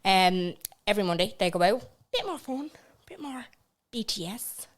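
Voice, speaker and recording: A woman's voice: medium pitch, lively intonation, moderate pace, slight background noise. A young Irish woman co-hosting a podcast, chatting casually and joking around on a studio mic. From Ireland. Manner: chatty, jokey, laughs often. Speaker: podcast co-host. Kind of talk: casual chat.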